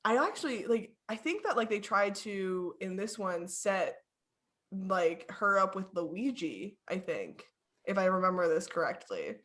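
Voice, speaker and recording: A woman's voice: medium pitch, sing-song, unhurried, fairly bright tone. A young woman co-hosting a casual podcast chat, rambling with lots of fillers and frequent giggles. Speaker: podcast co-host.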